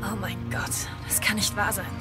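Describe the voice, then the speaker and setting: A woman's voice: mid-range voice, level tone, moderate pace, over loud music, natural voice. An actress playing an anxious but gutsy young female student in an audio drama.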